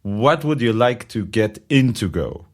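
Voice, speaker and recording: A man's voice: on the low side, animated, measured pace. A man with an Israeli accent co-hosting a podcast, chatting casually and joking about himself. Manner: chatty, joking, self-deprecating. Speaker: podcast co-host.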